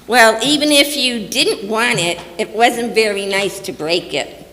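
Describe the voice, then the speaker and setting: A woman playing a bossy, scolding mother with a firm, deliberate manner in an old-time radio stage play. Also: a little high, a bit bright. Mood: reproving. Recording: echoey hall, faint noise.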